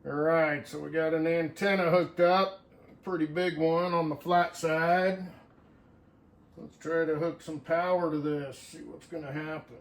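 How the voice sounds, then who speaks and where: Man, somewhat high-pitched voice, folksy, casual hobbyist vlogger, unscripted, thinking aloud during a hands-on demo. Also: steady tone, measured pace. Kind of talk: casual hands-on demonstration, talking to viewers.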